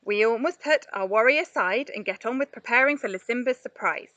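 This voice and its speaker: A woman's voice: a little high, brisk, pushed and bright, no echo, highs cut off. A woman voicing a firm, encouraging male animal leader in a children's storybook reading. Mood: approving. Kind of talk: children's storybook read aloud.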